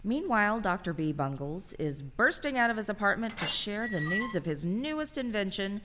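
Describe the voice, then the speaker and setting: A woman's voice: mid-range voice, big pitch swings, normal speed, background noise. A woman narrating a radio play in a storytelling style. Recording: reverberant hall sound.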